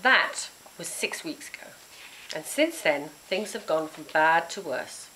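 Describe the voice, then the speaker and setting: A woman narrating a children's storybook in an expressive, dramatic and emphatic way. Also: low-pitched, a little slow, slight background noise, low-quality recording. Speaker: author reading their own book.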